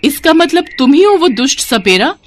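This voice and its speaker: A woman voicing a proud young female serpent bride in a cartoon, with an expressive delivery. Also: high-pitched, normal speed, a little hiss or hum, voice pushed up. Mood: wary. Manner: expressive, mocking when refusing.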